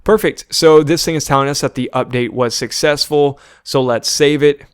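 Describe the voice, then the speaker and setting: Clear-voiced man giving a casual tutorial. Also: a little high, steady tone, normal speed, no echo, clean studio sound. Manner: informal step-by-step instructing, often addressing viewers as "you guys". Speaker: tutorial presenter. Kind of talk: casual screen-share tutorial narration.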